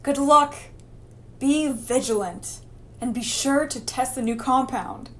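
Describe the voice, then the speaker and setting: A woman's voice: high-pitched, slow, slight background noise. A woman playing an anxious, careful scientist in a horror radio drama, speaking earnestly. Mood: earnest, cautioning.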